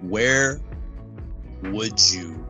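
A man's voice: on the low side, lively intonation, extremely slow, bright, forceful tone, faint music behind. A young man co-hosting a podcast, playful and full of questions. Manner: playful, jokey, asks questions. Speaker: podcast co-host. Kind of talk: casual chat.

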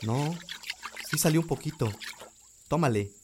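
A man playing a calm, hopeful young farmer. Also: a little high, measured pace, slight background noise, close to an everyday voice. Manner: calm, reassuring. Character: young male farmer Gabriel, hopeful husband. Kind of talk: radio drama acting.

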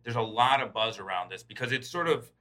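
A man's voice: mid-range voice, lively intonation, fairly quick, pushed and bright. A man giving informal, explanatory answers as a guest in a podcast interview. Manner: informal, explanatory, checks in with "right?". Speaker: podcast guest, tech company chief executive.

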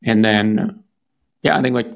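A man's voice: on the low side, unhurried, gravelly. A Finnish-born man with a Finnish accent, a startup founder answering interview questions casually, hesitant and full of fillers and restarts. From Finland.

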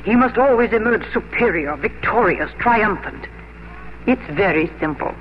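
A worldly, scheming older lady of society, played by an actress. She speaks knowingly and with theatrical emphasis, her pitch moving freely. The sound is a dull old radio play with faint hiss. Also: lively intonation, moderate pace, natural voice. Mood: knowing, sly. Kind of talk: 1950s radio drama acting. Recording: muffled, hissy old radio broadcast.

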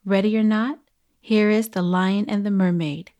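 A woman podcast host reads scripted announcements. Her voice sits at a middle pitch, and she speaks directly to the listeners as she presents the show and its sponsor. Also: steady tone, moderate pace, warm tone.

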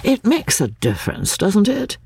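A man's voice: high-pitched, huge pitch swings, a little slow, gravelly, darkish tone, husky, dry recording, pitched above the performer's own voice, exaggerated voice. A woman voicing a supercilious, irritable young Englishman in an audiobook, pompous and affectedly worldly. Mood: lofty. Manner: pompous, hesitant restarts.

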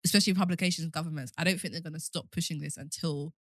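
A woman's voice: sits low, steady tone, very fast, a bit bright, no echo, clean studio sound. A woman in her twenties co-hosting a podcast, chatting informally and explaining things in a conversational way. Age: 20s. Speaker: podcast co-host. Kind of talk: casual group chat.

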